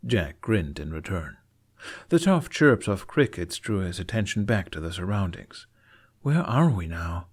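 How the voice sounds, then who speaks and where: A man's voice: low-pitched, expressive, moderate pace. A man narrating a scripted fiction story expressively, as an audiobook reader. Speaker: narrator of a fan fiction podfic.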